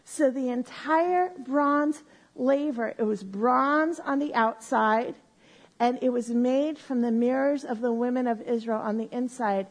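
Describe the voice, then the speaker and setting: High-voiced woman teaching the bible slow, kinda husky, keeps asking "right?". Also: poor audio. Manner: slow and deliberate, explains step by step and checks in with "right?"; says she has a loud voice. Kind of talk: Bible teaching to a live group. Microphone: handheld or stand mic.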